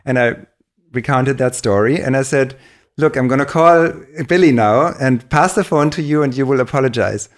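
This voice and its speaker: A man's voice: medium pitch, moderate pace. A man hosting a casual interview, encouraging his guest with short affirmations and telling his own stories. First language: German. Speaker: podcast host and songwriter.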